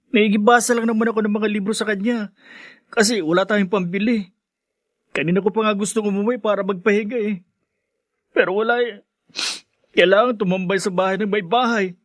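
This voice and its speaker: A man plays a struggling teenage boy with a fairly high voice, recorded close and dry. He is earnest, respectful and a little hesitant, with a Filipino accent, in the style of radio drama. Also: no echo, natural voice. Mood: pleading, frustrated.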